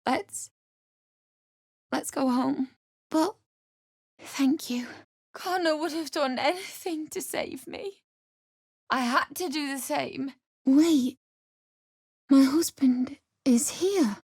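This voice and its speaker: A woman's voice: high-pitched, slow pace, long pauses, a little mellow, no echo, studio quality. A woman playing a distraught young pregnant widow in a video game, emotional and pleading, earnest and defiant in her grief. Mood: grieving, subdued.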